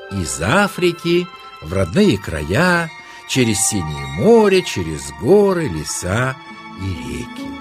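Expressive, unhurried male storyteller with music. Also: medium pitch. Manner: expressive, dramatic. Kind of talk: scripted fairy-tale narration for children. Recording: music behind the narration.

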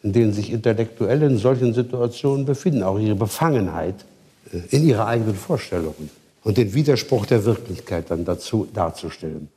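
A man's voice: lowish voice, animated, a little fast, warm tone. A man being interviewed, explaining something spontaneously.

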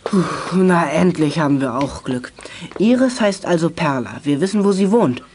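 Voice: mid-range voice, expressive, normal speed, background noise, natural voice. A performer playing an inquisitive, friendly child detective sidekick in a children's radio play. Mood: probing.

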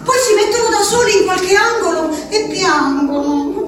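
An actress playing an anguished, pleading peasant wife shut in an asylum, in a halting theatrical monologue with a rustic Abruzzese accent. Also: female voice, very high-pitched, animated. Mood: agitated, bitter. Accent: central-southern Italian, Abruzzese. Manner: rustic, halting, tagging phrases with "eh". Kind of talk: theatrical monologue, letter spoken aloud. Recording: roomy, distant stage sound, faint noise.

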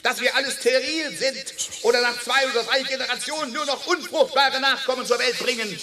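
A man's voice: extremely high pitch, fairly even intonation, brisk, noisy background. A man in a radio play voicing an indignant captive animal with impassioned, declamatory delivery. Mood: bitter, outraged. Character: indignant captive animal, bitterly defending its kind.

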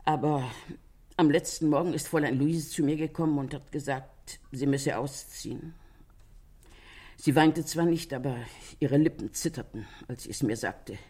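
An older landlady in a radio crime play, her voice low and husky. She gossips with sympathy, swinging widely in pitch as she retells what people said. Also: heavily acted. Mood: sympathetic, wistful. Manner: chatty storytelling, quotes others animatedly. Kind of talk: German radio crime drama acting.

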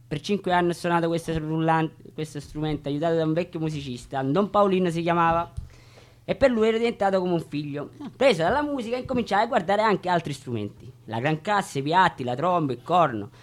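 A man's voice: fairly high pitch, animated, fairly quick. A man reading his life story aloud in a plain, earnest way, stumbling over words. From Reggio Calabria, Italy. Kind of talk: autobiographical monologue, read aloud.

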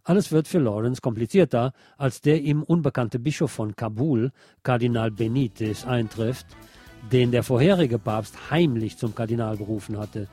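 A man presents a film review as a host, moving between read narration and his own opinionated asides. His voice is mid-pitched and mellow, with little edge. Faint music plays behind him. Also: normal speed, slightly rough. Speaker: radio or podcast host reviewing films. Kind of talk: film review, part scripted narration, part conversational.

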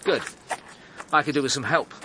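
A man's voice: a little high, moderate pace, background noise, natural voice. An actor playing a wry, pressing male chief inspector in a radio drama, with a dry, blunt, needling way of talking.

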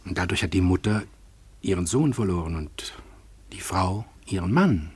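An actor playing a fatherly, gently moralizing family friend in a German radio drama, earnest and hesitant as he picks his words. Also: deep voice, lively intonation, moderate pace, breathy edge, no echo, full character voice. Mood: solemn persuasion.

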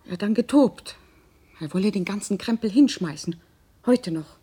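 An actress playing an earnest middle-aged mother who confides in her grown son, deliberate and emphatic, in a naturalistic radio drama. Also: medium pitch, animated, warm tone, husky. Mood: indignant, agitated.

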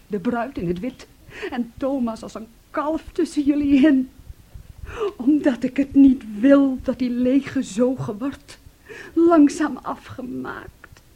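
An actress playing a bitter, defiant young daughter in a Dutch radio play, with an intense, cutting and dramatic delivery. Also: female voice, a little high, warm tone, husky. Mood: anguished pleading. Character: bitter, defiant grown daughter, fiercely accusing and pleading.

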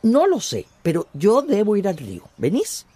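A woman's voice: medium pitch, huge pitch swings, normal speed, warm tone, breathy edge. An actress playing a mystical, self-assured old village woman in a radio drama. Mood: serene, assuring.

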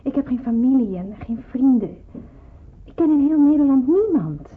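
An actress playing an earnest, resigned young nurse in a radio drama, speaking hesitantly. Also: female voice, sits high, lively intonation, moderate pace, husky. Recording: muffled, narrow-band old radio play, hissy.

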